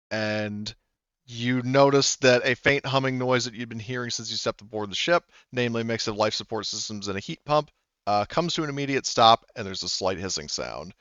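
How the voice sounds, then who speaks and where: A man's voice: mid-range voice, fairly bright tone, clean tone, no echo, muffled recording. A man narrating as game master of a tabletop game, hesitant with many fillers.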